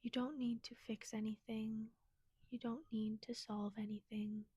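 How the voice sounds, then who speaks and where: Female meditation guide: gentle and soothing, mellow soft tone, close dry sound. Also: a little high, level tone, a little slow, slightly rough, no room sound.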